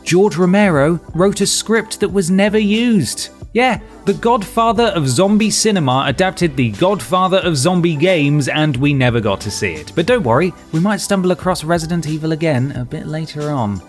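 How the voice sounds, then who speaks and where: Man narrating with lively, swooping pitch. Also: normal speed, few pauses. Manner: dry, sardonic delivery. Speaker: presenter of a ranked list video. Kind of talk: scripted comedic narration. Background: background music.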